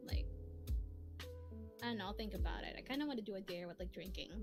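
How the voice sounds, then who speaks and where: A woman's voice: mid-range voice, lively intonation, fast, creaky. A woman hosting a casual livestream, chatting in a giggly, self-deprecating way. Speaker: streamer, channel host. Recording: music playing behind the voice. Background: background music.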